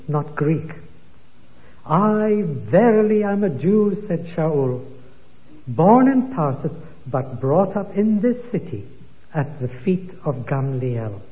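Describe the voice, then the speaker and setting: A man delivers a sermon, slow and emphatic, weaving quoted scripture into his teaching. His voice sits a little high for a man. It comes through thin and phone-like, with a long reverb trailing off in a big hall. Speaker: preacher.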